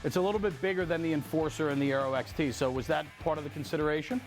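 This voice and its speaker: Fast-talking, enthusiastic male interviewer. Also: fairly high pitch, fairly even intonation, faint music behind. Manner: enthusiastic, affirming, recaps before asking. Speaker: interviewer and host. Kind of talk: on-site walkaround interview about a fire truck.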